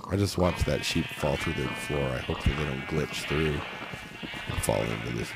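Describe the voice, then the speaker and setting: A man with a cold, hoarse and raspy, rambling through casual gameplay commentary with lots of fillers. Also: very deep voice, moderate pace, over loud music. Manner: rambling, thinking aloud with many fillers. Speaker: let's play host.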